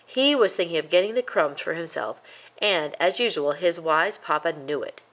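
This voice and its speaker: Animated woman reading a children's story. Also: fairly low pitch, moderate pace. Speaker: storybook reader. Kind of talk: scripted children's story narration.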